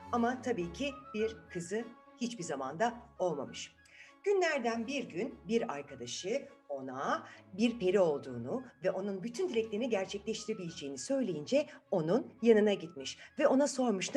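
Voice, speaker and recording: A woman hosting a children's story channel, telling a fairy tale in an expressive, chatty way and putting questions to her young listeners. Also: mid-range voice.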